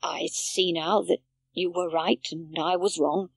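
A woman voicing a gentle, contented old grandfather in a storybook, speaking slowly and calmly. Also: lowish voice, creaky, slightly rough, pitched below the performer's own voice, heavily acted. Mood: earnest.

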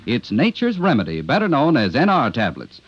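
A man's voice: mid-range voice, huge pitch swings, normal speed, breathy edge. An American man reading a 1950s radio advertisement as a commercial announcer. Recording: dull old radio recording.

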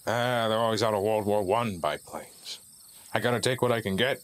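A man's voice: lowish voice, normal speed, natural voice. An older man playing a weary, fatherly pilot and fixer, in a fan audio drama. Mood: wry.

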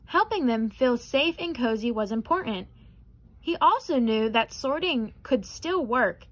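A young adult woman reading a children's picture book aloud in an expressive, careful way. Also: a little high, rough recording. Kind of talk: children's storybook read-aloud.

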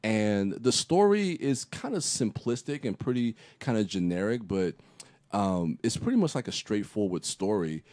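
A man's voice: fairly low pitch, very animated, normal speed, a little mellow, no echo. A man on a podcast giving a rambling, casual film review full of fillers and restarts, with a slight cough as he gets over a cold. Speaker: podcast co-host.

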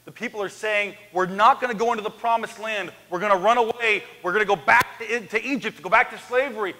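A man in his thirties preaching an animated sermon on a church stage, swinging from loud to hushed. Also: high voice, brisk. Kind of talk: lively sermon. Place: church sanctuary, large, indoors. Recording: echoey church hall.

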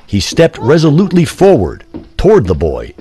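Male storyteller: husky, animated, ornate theatrical narration. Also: on the high side, normal speed. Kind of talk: dramatic scripted story narration.

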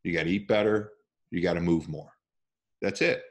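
A man's voice: low-pitched, normal speed. A man hosting a podcast interview on a video call, talking emphatically into a headset mic from a room at home. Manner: animated, emphatic, gestures with hands. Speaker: podcast host. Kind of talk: casual interview chat.

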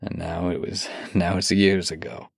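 A man acting a devoted romantic partner in an intimate audio drama, with a gravelly, husky voice, speaking heartfelt words close to the mic. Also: low-pitched, little pitch movement, measured pace, dry recording, full character voice. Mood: ardent, earnest. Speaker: voice actor reading love letters. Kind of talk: intimate audio drama, slow heartfelt letter reading and banter.

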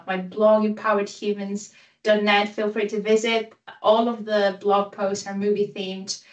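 A woman's voice: mid-range voice, a bit bright. A woman in her thirties from Greece and Italy with a Greek accent, giving an enthusiastic presentation as a guest speaker on a video call, with a light joking tone. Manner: upbeat, enthusiastic, self-deprecating humor. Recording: roomy sound, voice a bit far from mic.

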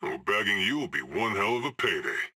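A man's voice: fairly low pitch, very animated, brisk, bright, forceful tone, slightly rough, exaggerated voice. An actor playing a cocky, cool male hired assassin in a video game, trading dry, sardonic one-liners.

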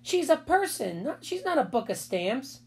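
A woman's voice: high voice, sing-song, normal speed, a little mellow, pitched above the performer's own voice. A woman voicing an exasperated mother of young children in a storybook, firm and scolding but loving.

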